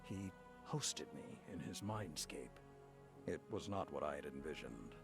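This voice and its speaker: An actor playing a stern, solemn commander and mentor in a video game, speaking in a measured, formal and deliberate way. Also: male voice, a bass voice, expressive, gravelly, over music, full character voice. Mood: solemn, reflective. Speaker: video game character briefing the player. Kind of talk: scripted dramatic game dialogue.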